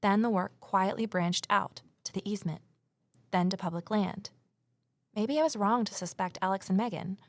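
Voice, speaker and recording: Creaky-voiced anxious woman sleuth played by an actress. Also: mid-range voice, normal speed, no room sound, lightly acted. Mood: brooding, anxious. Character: Evelyn, anxious, determined amateur sleuth woman. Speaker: lead character and narrator. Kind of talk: naturalistic audio drama dialogue and narration.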